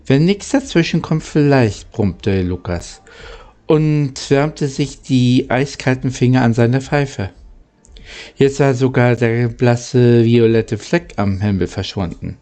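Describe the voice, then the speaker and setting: A man narrating a children's story from a script, in a halting way that stumbles over words. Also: medium pitch, fairly even intonation, dark tone. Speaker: audiobook narrator.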